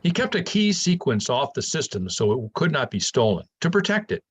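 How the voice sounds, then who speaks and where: Man acting a kindly husky older professor, animated. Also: medium pitch, a little fast. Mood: fond, earnest. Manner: patient, explanatory, conversational. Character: kindly older male professor, gentle, fond, wry mentor. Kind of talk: staged reading of a science fiction play.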